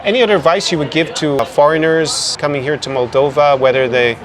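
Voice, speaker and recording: Quick chatty guy doing a podcast interview outside, lots of crowd noise around. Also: on the high side, fairly even intonation, poor audio. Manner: fast-talking, chatty, frequent restarts. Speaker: podcast host. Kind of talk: casual interview.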